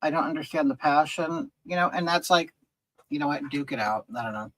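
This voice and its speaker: A man's voice: on the high side, lively intonation, a little fast, a bit bright, low-quality recording. A man from rural California hosting a casual stream, chatting with viewers and rambling through hesitant, restarted sentences while doing makeup. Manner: rambling and hesitant, many restarts, reading and answering chat. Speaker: livestream host.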